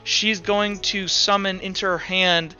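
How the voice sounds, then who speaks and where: Bright, high-voiced man running a tabletop game. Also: level tone, a little slow. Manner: casual, profane, thinking aloud. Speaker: game master. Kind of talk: improvised tabletop game narration. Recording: dull lo-fi mic, music behind. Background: background music.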